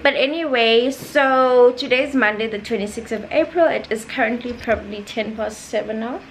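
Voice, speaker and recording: A woman's voice: fairly high pitch, moderate pace, poor audio. A South African woman of 21 chatting casually and playfully to her vlog viewers, with sassy slang, into a camera held at arm's length. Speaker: vlogger. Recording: clear background noise.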